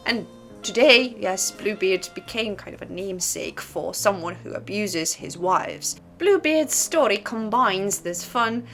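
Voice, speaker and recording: A woman's voice: medium pitch, fairly bright tone. A woman hosting a commentary on disturbing books, speaking in a dramatic, conversational way straight to the viewer with rhetorical questions. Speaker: host of a disturbing literature channel. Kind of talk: casual scripted commentary to camera.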